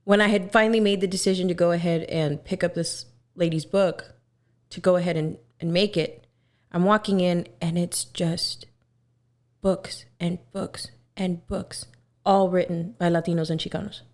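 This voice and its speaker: A woman in her twenties from Texas, a singer talking as a podcast guest in an earnest way, often pausing with fillers as she searches for words. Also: lowish voice, fairly even intonation, darkish tone. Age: 20s. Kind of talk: casual podcast conversation.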